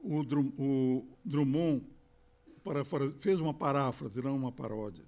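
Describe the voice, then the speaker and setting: Older academic lecturing, halting. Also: male voice, mid-range voice, warm tone. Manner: halting asides with fillers and self-corrections. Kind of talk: formal lecture with read quotations. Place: lecture hall.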